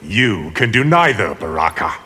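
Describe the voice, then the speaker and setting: An actor voicing a cold, scheming, arrogant sorcerer in a video game, haughty and deliberate. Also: male voice, on the low side, sing-song, pushed and bright, a little hiss or hum. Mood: scornful. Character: cold, scheming, arrogant male sorcerer, power-hungry villain. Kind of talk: video game villain dialogue.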